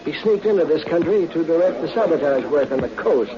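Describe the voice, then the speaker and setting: An American actor playing a brisk, folksy male police lieutenant in a 1940s radio drama. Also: a little high, animated, a crowd in the background, lightly acted. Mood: friendly. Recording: dull old radio recording, noisy background.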